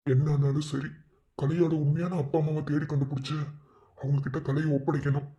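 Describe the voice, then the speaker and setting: A man's voice: a little high, little pitch movement, a little fast, mellow, highs cut off, close to an everyday voice. A man playing a concerned young husband and father in a family melodrama, chiding yet reassuring. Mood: pensive. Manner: chiding, then soothing. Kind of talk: melodramatic family serial acting.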